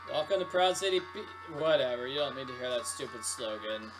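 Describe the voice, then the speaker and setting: A woman's voice: very deep voice, animated, a little fast, over music, voice pushed down, poor audio. A woman voicing a fed-up, sarcastic young male pizza worker who complains bitterly in a story. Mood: sullen, sarcastic. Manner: exasperated, complaining.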